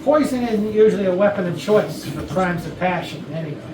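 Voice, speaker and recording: A woman's voice: mid-range voice, brisk, natural voice. Echoey, far-off actress as a blunt woman investigator. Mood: probing. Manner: probing, dry, assertive. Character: blunt, sardonic investigator questioning murder suspects. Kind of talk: staged reading of a murder mystery comedy. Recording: distant mic, echoey noisy room.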